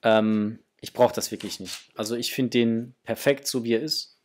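Casual, enthusiastic man, medium pitch. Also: steady tone, moderate pace. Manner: enthusiastic, informal. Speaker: toy review channel host. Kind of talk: casual unboxing and review chat. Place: small home room, indoors.

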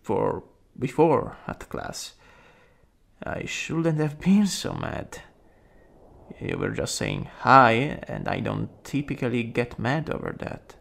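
A man playing a rude young school bully who is secretly smitten, in an ASMR roleplay, cocky and prone to fluster, with a gravelly, husky voice. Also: medium pitch, animated, slow, fairly bright tone, heavily acted. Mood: gruff, apologetic. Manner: cocky taunts breaking into stammering, breathy asides.

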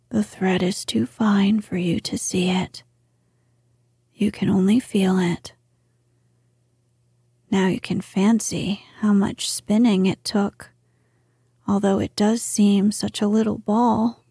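A calm, queenly old grandmother speaks in a breathy hush, as a woman reading aloud puts her on. Her words come very slowly, in a mellow tone, with a close, dry sound. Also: medium pitch, no room sound, exaggerated voice. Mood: calm, tender. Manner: soft whisper. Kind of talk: whispered storybook reading.

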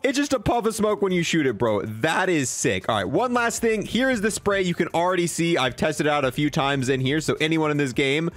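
A young man giving casual, slangy, excitable commentary as he reviews game content. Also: on the high side, brisk, few pauses, no room sound. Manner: excitable, slangy bro-and-dude talk. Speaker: gaming video creator.

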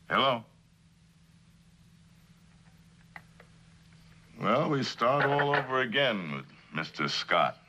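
A shrewd prosecutor from a 1960s television drama. His voice sits very low, with a bright, forceful edge. He speaks formally and presses each point with skeptical precision. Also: lo-fi sound, a little hiss or hum, exaggerated voice. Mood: earnest, skeptical. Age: middle-aged. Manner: formal, probing, pointed questioning. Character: shrewd, skeptical middle-aged male district attorney. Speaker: actor as district attorney.

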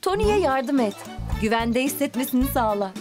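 Woman, children's cartoon narrator, high pitched, very expressive, encouraging, music underneath. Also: moderate pace. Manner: encouraging, exclamatory, talking to the characters.

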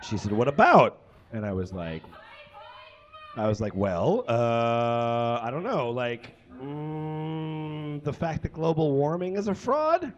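A man hosting a live comedy show, casually introducing the next piece in a halting, self-deprecating, joking way. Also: medium pitch, big pitch swings, a little hiss or hum. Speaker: show host. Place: small indoor comedy stage. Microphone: stage microphone on stand. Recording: muffled live stage microphone.

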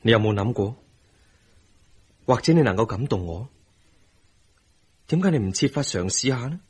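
Radio drama husband: tender, earnest, remorseful, Hong Kong Cantonese accent, lowish breathy voice. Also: male voice, lively intonation, normal speed, a little mellow. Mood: hurt, remorseful. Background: music.

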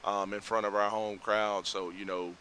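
A middle-aged man talks things over in a low, forceful voice, pausing often with fillers. He speaks into a headset mic outdoors at night, with faint outdoor sound behind him. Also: little pitch movement, a little hiss or hum. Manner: measured, reflective, many fillers. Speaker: head football coach. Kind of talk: postgame interview. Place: outdoors by a school building at night.

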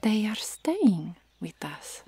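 A woman playing a nosy, teasing Amazon warrior sister in an ASMR roleplay, speaking softly and often whispering. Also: medium pitch, big pitch swings, takes it slowly, full character voice. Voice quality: soft, breathy, often whispering. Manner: inquisitive, needling.

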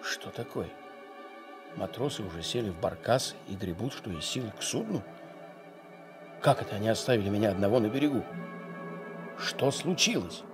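An actor playing a restless, curious ship's doctor and traveller in a radio play, genteel and expressive. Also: male voice, lowish voice, moderate pace, pauses often, music in the background, lightly acted. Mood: bewildered.

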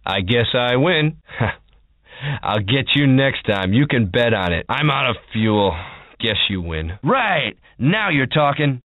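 A man's voice: mid-range voice, very animated, slow, no echo. The guy on your wing in an arcade flight game: cocky, easygoing, punchy, coming dry and thin through the headset radio. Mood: laid-back, cocky. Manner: punchy, clipped exclamations. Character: cocky, easygoing male fighter pilot. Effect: cockpit radio filter. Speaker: fighter pilot character. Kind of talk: video game voice lines, short radio calls. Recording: tinny, phone-like radio channel.

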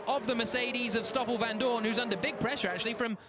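A man's voice: extremely high pitch, steady tone, fast, a loud crowd. A man giving live motorsport commentary as a race commentator. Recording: loud engine noise behind voice. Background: racing car engines.

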